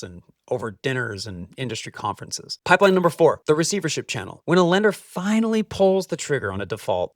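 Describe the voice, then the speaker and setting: A man hosting a scripted explainer, speaking straight to the listener in punchy, emphatic short phrases with deliberate pauses. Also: medium pitch, no echo, studio quality, distant mic. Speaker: host, capital-raising adviser.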